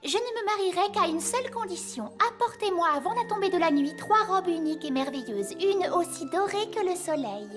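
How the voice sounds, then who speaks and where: Youthful cartoon princess, very high, lilting wide pitch, earnest, headstrong. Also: female voice. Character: young princess, earnest, distressed but strong-willed. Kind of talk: cartoon dub acting.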